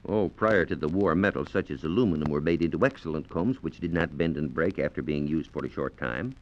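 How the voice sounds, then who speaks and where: An American man giving formal, measured scientific explanations as a reporter on a 1940s radio program. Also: a bass voice, slight background noise. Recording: dull, band-limited 1940s radio transcription disc.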